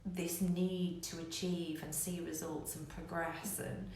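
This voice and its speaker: A woman's voice: on the low side, flat delivery, slow pace, vocal fry, a little mellow. A woman giving a candid live coaching talk to online viewers, emphatic and repeating phrases to drive points home. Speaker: business coach hosting a live online show. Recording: very echoey room, faint noise.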